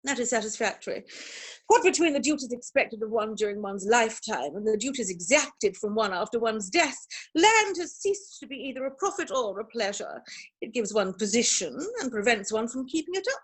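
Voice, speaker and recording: A woman plays a haughty Victorian matriarch from a stage comedy. Her voice is high and husky, and it swoops grandly as she pronounces on everything with pompous, snobbish certainty. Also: expressive. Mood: lofty, opinionated. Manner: pompous, haughty, emphatic, deliberate delivery. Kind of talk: theatrical comedy of manners reading. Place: virtual performance from home in isolation.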